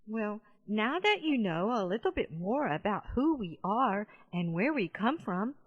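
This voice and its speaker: A woman's voice: mid-range voice, very animated, unhurried, husky, muffled recording. A woman voicing a friendly giant panda in a children's audiobook, playful and talking straight to young listeners. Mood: chatty, amused.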